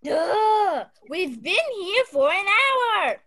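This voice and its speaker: A woman's voice: extremely high pitch, very animated, very slow, a bit bright, full character voice. A woman acting a jealous, melodramatic wife who speaks accusingly in a mystery radio play. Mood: whining.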